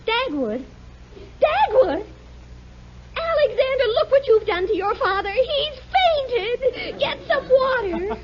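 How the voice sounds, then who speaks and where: A woman playing a level-headed, patient young wife in a 1950s radio sitcom, bright and brisk. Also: very high-pitched, sing-song, a little hiss or hum, heavily acted. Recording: dull lo-fi 1950 radio recording.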